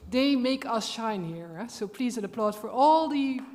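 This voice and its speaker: A woman's voice: a little high, expressive, moderate pace, background noise. A woman member of parliament asking a question from the floor, rallying and appreciative. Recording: reverberant conference hall.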